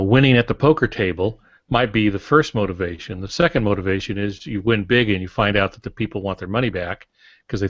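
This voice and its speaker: Older man, low-pitched, halting explanatory talk, co-host, dull lo-fi recording. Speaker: podcast co-host, writing instructor. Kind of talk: explanatory panel discussion on fiction writing. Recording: muffled, roomy lo-fi podcast audio.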